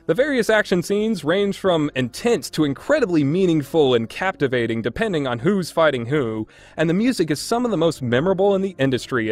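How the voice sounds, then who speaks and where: A man narrating a scripted countdown review as a video game reviewer, informal and opinionated. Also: a little high.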